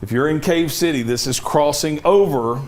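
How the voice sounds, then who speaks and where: A man, a TV meteorologist, giving live severe weather coverage with urgent, direct safety instructions, slightly hoarse from losing his voice. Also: a little high, moderate pace, darkish tone. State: losing his voice, cough drop in mouth.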